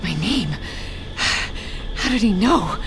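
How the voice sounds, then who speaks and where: A woman's voice: mid-range voice, expressive, extremely slow, noisy background. A woman playing a fierce, defiant, foul-mouthed heroine in an audio drama. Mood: tense muttering. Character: defiant, foul-mouthed female fighter for the planet. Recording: loud music under the voice.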